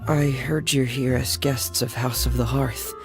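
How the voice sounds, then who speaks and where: A woman voicing a shy, awkward, soft-spoken teenage boy in a video game, hesitant and halting. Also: rumbling low, fairly even intonation, faint music behind. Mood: nervous.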